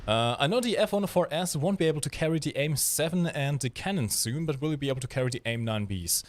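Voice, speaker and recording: Animated man with a German accent. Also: fairly high pitch, fast talker. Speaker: game livestream host. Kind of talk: casual live gaming commentary and chat.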